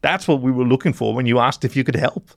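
A man's voice: mid-range voice, fast, creaky, dry recording, clean studio sound, pitched above the performer's own voice, lightly acted. A man voicing a nervous, tearful mole drug runner in a story, stammering and pleading. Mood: distraught, tearful. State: sobbing.

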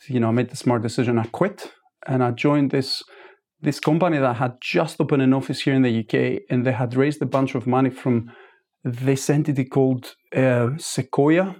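A man, a startup founder giving measured, thoughtful interview answers with frequent restarts. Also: mid-range voice, darkish tone. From Greece.

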